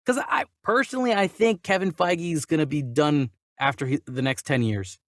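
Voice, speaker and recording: A man's voice: a little high, normal speed, a bit bright, no echo, clean studio sound. A man hosting a discussion show, giving opinions casually and emphatically, often repeating phrases as he builds his point. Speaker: host of a movie news show.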